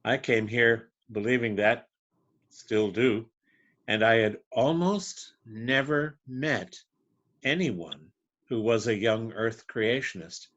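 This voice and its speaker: A middle-aged Canadian man giving a measured, wry impromptu speech at a club meeting. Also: medium pitch, animated, a bit bright, dull audio. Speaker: club member answering a table topic.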